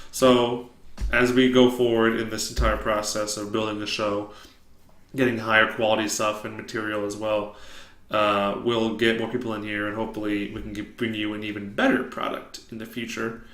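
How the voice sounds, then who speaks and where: A young man co-hosting a casual sports podcast, loud and booming, joking as he talks. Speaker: podcast co-host. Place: open basement. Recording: echoey room, faint noise.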